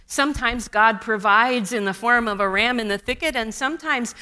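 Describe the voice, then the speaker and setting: A woman pastor giving a sermon, unhurried and measured, with wry asides, speaking English as a second language after Russian. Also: a little high, sharp tone, clean tone.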